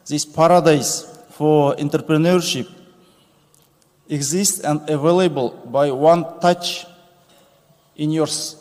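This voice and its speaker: A man, giving a formal conference speech with slow, deliberate phrasing and pauses. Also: fairly high pitch, a little mellow. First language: Kazakh. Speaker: conference speaker. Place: large conference hall stage. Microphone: podium microphone. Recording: reverberant conference hall.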